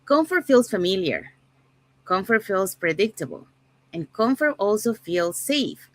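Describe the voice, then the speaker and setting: A woman from Panama hosting an online panel talk, relaxed, often saying "so yeah". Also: medium pitch, measured pace, no room sound. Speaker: host.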